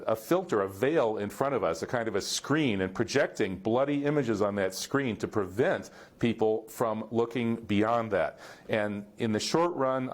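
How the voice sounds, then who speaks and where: Low-voiced scholarly panel guest. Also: male voice. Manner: thoughtful, scholarly, many filler pauses. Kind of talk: panel discussion.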